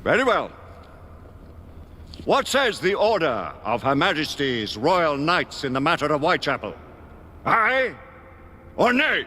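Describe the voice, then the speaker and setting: A man's voice: a little high, sing-song, measured pace, pauses often, bright, forceful tone, exaggerated voice. An actor playing a ceremonious, imperious chairman of a knightly council, declaiming solemnly in a video game. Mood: solemn, commanding. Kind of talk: dramatic video game acting. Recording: long hall reverb, faint noise.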